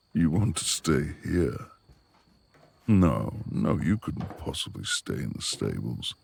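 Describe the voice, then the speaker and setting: A man playing a gruff, reclusive lord of the manor in a romantic ASMR audio roleplay, with gravelly, formal, imperious speech and a tender streak. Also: extremely low pitch, a little mellow, exaggerated voice. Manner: formal old-fashioned diction, imperious.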